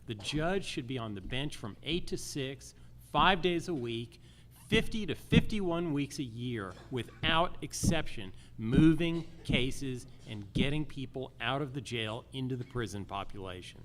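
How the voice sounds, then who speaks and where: A man of medium pitch argues his case as a judicial candidate at a live forum. His delivery is animated and emphatic, hammering points in lists, over faint background noise. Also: unhurried. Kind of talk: campaign forum answers, public speaking.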